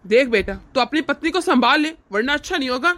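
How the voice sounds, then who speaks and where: Woman doing a bullying old patriarch, sneering, barking orders, rather high voice for a man. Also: lively intonation, brisk, a bit bright. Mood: contemptuous. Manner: scornful, threatening, barking orders. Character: harsh domineering older man, a tyrannical father-in-law. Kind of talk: Hindi cartoon family drama dialogue.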